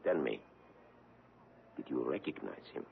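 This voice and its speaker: A coolly formal foreign agent with a very deep, gravelly and raspy voice that swings widely as he presses his point. He speaks in a measured, controlling way, and it comes through a tinny old radio broadcast. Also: very animated, exaggerated voice. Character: cool, formal foreign agent hunting a fugitive. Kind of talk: 1970s radio drama acting.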